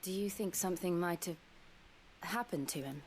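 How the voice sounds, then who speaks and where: An actress playing a polite, soft-spoken young woman companion in a video game. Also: lowish voice, normal speed, a little hiss or hum, lightly acted. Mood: concerned. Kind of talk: game dialogue.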